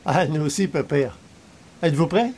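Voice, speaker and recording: An actor playing an easygoing, concerned friend in a radio comedy, with a Quebec French accent. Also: male voice, fairly high pitch, normal speed, a little mellow, a little hiss or hum, close to an everyday voice. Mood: friendly, concerned. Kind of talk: old-time radio comedy acting.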